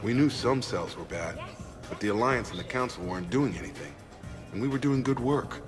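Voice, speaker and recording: A man playing an earnest, steady soldier in video game dialogue. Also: medium pitch, brisk, big-room sound, music in the background, close to an everyday voice.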